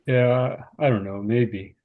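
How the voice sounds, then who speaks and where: An actor reading a reserved, uneasy American man at a screenplay table read, hesitant and understated, with a creaky voice. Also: mid-range voice, fairly even intonation, takes it slowly, narrow, tinny audio, natural voice. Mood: awkward. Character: David, reserved, uneasy, self-deprecating American male cousin.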